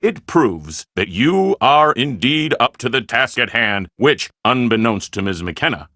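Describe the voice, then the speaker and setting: A man voicing a powerful, shrewd Hollywood bigwig in an adventure game, formal, measured and self-assured. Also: medium pitch, sing-song, sharp tone, dry recording, clean studio sound, exaggerated voice. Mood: smug, approving.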